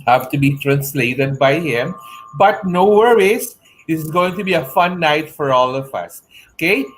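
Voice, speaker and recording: A man's voice: a little high, slight background noise, low-quality recording. Gushing male online show host. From Philippines. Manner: gushing, effusive, exclaims a lot. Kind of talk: online interview show hosting. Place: home room with shelves. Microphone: earphone headset mic on video call.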